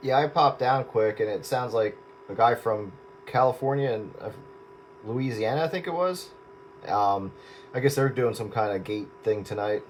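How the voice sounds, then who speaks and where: A man's voice: medium pitch, moderate pace. A man chatting casually as a radio operator over a two-way radio. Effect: two-way radio transmission. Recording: quiet radio signal with static.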